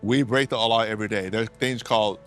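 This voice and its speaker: A lawyer with a fairly low voice lays out his points with earnest care, circling back to repeat phrases so they land. He speaks from his law office, and a faint bed of music sits under the talk. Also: fairly even intonation, fast, a bit bright. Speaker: immigration attorney. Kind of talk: interview answers.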